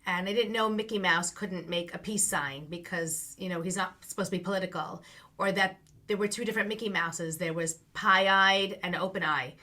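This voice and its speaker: A woman's voice: lowish voice, steady tone, moderate pace, pushed and bright. A middle-aged woman from Long Island with a New York accent, chatting as a guest and telling her career story with laughs. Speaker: guest, business coach. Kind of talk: casual interview chat.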